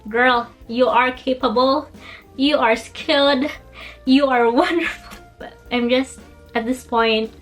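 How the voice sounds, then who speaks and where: A young woman from the Philippines, speaking English as a second language, talking earnestly in a reflective personal vlog and encouraging herself with soft laughs. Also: high-pitched, slow, soft background music. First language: Filipino language. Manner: earnest, self-encouraging, laughs softly between thoughts. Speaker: vlogger.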